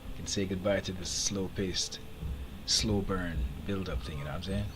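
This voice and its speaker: A man's voice: sits low, fairly even intonation, normal speed. Jamaican male streamer, chatty commentary, faint background noise. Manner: chatty, opinionated, laughs often. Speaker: game streamer. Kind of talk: casual live gameplay commentary.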